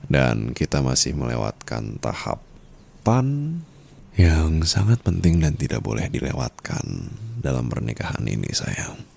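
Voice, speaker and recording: A man's voice: very animated, exaggerated voice. A man playing a dominant, teasing yet caring sugar-daddy husband in an intimate ASMR roleplay, murmuring and slipping into whispers. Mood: seductive, menacing. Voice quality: very deep, gravelly, husky. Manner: slow, teasing, soft murmurs dropping into whispers.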